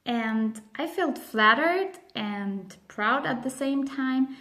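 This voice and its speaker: A woman with a slightly high voice speaks English with a Swiss German accent; her first languages are Spanish and Swiss German. She teaches at an unhurried pace, pausing often and leaning on her key words. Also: expressive, a bit bright. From grew up on the other side of the globe. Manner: slow, careful, emphatic delivery with frequent pauses. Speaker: language educator. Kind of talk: explainer video lesson.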